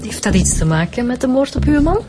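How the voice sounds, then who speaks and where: A woman with a Flemish accent playing a brisk, sharp-witted detective in a radio drama. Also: fairly high pitch, very animated. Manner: brisk, teasing, practical. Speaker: police detective. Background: music.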